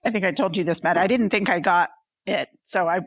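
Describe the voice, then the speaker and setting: A woman's voice: fairly low pitch, fairly quick, dry recording. A woman in casual conversation, talking in a self-deprecating way.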